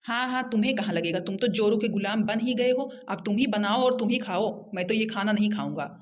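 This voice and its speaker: A woman's voice: mid-range voice, fairly quick, natural voice. A woman voicing a domineering, sarcastic elder brother-in-law in an amateur cartoon comedy. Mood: angry, sulking. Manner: sarcastic, hectoring.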